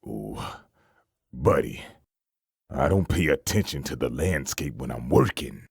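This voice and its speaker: Guy doing a cocky hitman soldier for a comic dub, super deep gravelly voice, menacing, swings around a lot. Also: expressive, no room sound, clean studio sound, exaggerated voice. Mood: scornful, dismissive. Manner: slow, dismissive, threatening. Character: arrogant, ruthless male soldier-assassin, gruff and scornful. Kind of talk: fan comic dub acting.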